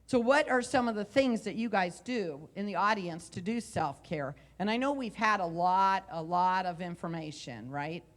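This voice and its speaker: A woman in her forties giving a loud, conversational motivational talk into a handheld mic on a conference room stage. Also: lowish voice, normal speed, hardly any pauses. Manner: loud, conversational, with repeated phrases. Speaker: motivational speaker and coach. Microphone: handheld microphone.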